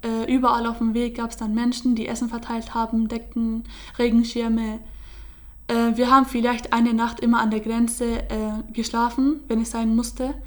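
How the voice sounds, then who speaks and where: Young woman, flat hesitant telling. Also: a little high, normal speed. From Syria. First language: Kurdish. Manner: hesitant, many fillers and restarts. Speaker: interviewee. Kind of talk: casual personal account.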